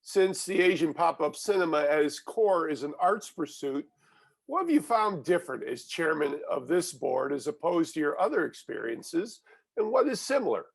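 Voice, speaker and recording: A man asks measured, formal interview questions over a video call. His voice sits a little high and has a gravelly, fry-laden edge. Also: sing-song. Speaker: film critic interviewer.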